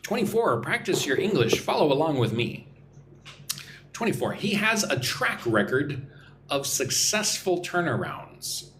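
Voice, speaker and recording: A man's voice: on the high side, sing-song, measured pace, fairly bright tone, a little hiss or hum. A young man from Texas hosting a live English lesson, reading out viewers' comments and answering them in a chatty, teasing way. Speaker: English teacher and livestream host. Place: home room in Vietnam.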